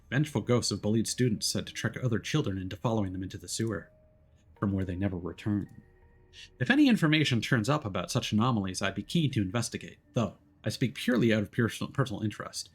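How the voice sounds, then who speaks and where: A man voicing a reserved male researcher from a game, speaking in formal, measured sentences. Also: fairly low pitch, breathy edge, full character voice.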